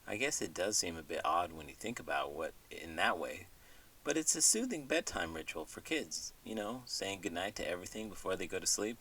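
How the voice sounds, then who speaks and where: A man's voice: sits low, big pitch swings, brisk, sharp tone, husky, recorded from a distance, low-quality recording. A man hosting a bedtime read-aloud, delivering picture-book lines and scripted chat in a soothing storytelling style. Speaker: host and bedtime story reader.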